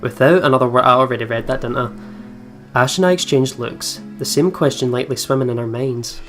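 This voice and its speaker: Let's play narration, casual commentary, mid-pitched voice, faint guitar game music. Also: brisk. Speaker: let's player. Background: soft guitar game music.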